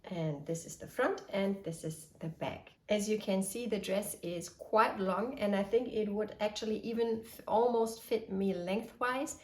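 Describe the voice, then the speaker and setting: A woman's voice: medium pitch, measured pace, hardly any pauses. Woman with a cold talking knitting. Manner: cheerful, detailed, slightly hesitant. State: getting over a cold. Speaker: knitting podcast host. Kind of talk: casual show-and-tell. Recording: echoey room.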